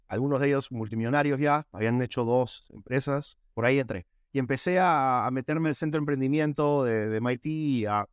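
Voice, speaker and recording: Very fast-talking Peruvian man. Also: medium pitch, clean tone, dry recording, clean studio sound. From Peru. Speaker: podcast guest, AI executive. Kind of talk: casual interview talk.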